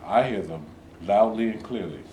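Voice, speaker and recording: A man's voice: lowish voice, expressive, moderate pace, over a noisy crowd, close to an everyday voice. A man playing a cautious, principled activist leader in a staged play reading, formal and earnest. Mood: firm, earnest. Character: cautious male civil rights leader, principled, measured. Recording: echoey room with background noise.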